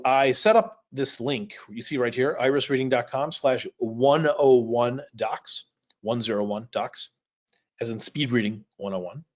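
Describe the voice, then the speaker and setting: A man whose first language is Polish, teaching a livestream class in a casual, conversational way, speaking directly to viewers. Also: medium pitch. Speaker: speed reading instructor. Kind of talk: livestream lesson, casual explaining. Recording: dull, lo-fi livestream audio.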